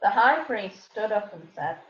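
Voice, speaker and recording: A woman's voice: medium pitch, animated, measured pace. A woman narrating a dramatised scripture reading as a scripted narrator. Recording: echoey room, dull sound, slight noise.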